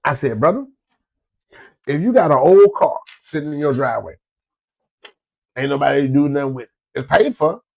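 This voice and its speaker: Stuffed-up man, gravelly and high. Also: expressive, moderate pace, long pauses, a little mellow, dull audio. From Florida. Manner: folksy, repetitive, addresses listeners as y'all. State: stuffed-up nose from a cold. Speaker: host giving business advice. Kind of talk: casual motivational talk to listeners.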